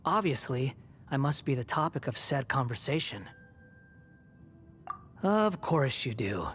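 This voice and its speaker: A man's voice: medium pitch, sing-song, unhurried, a bit bright, a little hiss or hum. A man voicing a haughty young antihero in a video game, sarcastic, curt and dismissive. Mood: sarcastic, irritated. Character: sardonic, haughty young male puppet antihero.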